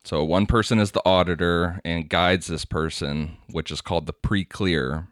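A man's voice: low-pitched, steady tone, normal speed, a bit bright, dry recording, clean studio sound. A man co-hosting a casual podcast, explaining a topic from notes and laughing often. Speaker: podcast co-host. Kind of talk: casual podcast chat, reading quotes aloud.

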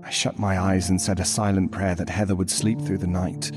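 An actor playing an anxious, troubled father who narrates a horror story in an intense, brooding way with dramatic pauses. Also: deep voice, level tone, close to an everyday voice. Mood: weary, brooding. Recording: music behind the voice.

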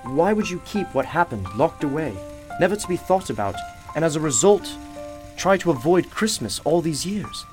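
Man as wise radio drama shopkeeper, courteous, formal, gently reassuring, animated intonation, faint music behind. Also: on the high side, normal speed. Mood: probing. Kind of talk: radio play acting.

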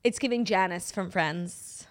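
A young woman with a New York accent co-hosting a podcast in casual, animated chat, full of "like" and swearing. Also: fairly low pitch, measured pace. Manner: animated, swearing, heavy use of "like". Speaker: podcast co-host.

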